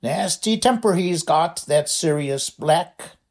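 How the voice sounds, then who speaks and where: Storybook poltergeist, male, voiced by a man: crackling, oily, sly and drawn-out, pitched up high. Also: animated, exaggerated voice. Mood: gleeful. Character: mischievous male poltergeist, gleeful troublemaker.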